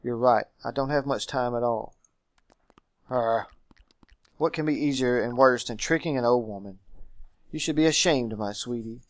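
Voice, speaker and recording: A man's voice: medium pitch, moderate pace, long pauses, no room sound, voice pushed down, close to an everyday voice. Clingy female demon servant for a game, read by a man, coaxing and chiding, dry close sound. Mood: displeased.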